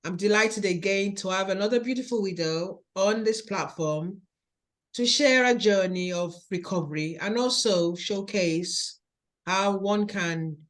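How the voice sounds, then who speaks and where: Slow-speaking Nigerian woman podcast host. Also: lowish voice. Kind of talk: warm interview podcast hosting.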